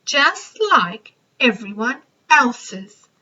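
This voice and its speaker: A woman's voice: a little high, animated, very slow, pushed and bright, slightly rough, lo-fi sound, exaggerated voice. A woman voicing a small, fussy, bossy male storybook character who worries and talks in an emphatic, know-it-all way. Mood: instructing.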